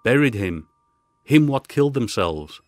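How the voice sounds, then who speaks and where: A man voicing a fearful, superstitious German coachman who speaks halting, broken English in an audiobook horror story. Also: medium pitch, very animated, no room sound. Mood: grave.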